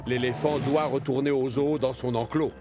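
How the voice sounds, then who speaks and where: A man's voice: medium pitch, steady tone, moderate pace, over music. A man narrating a scripted children's cartoon story.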